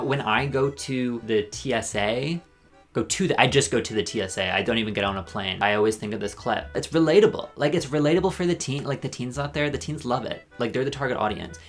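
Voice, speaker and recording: A man giving a rambling, sarcastic video commentary with deadpan asides. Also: medium pitch, fairly quick, faint music behind. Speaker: commentary YouTuber. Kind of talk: ranking commentary.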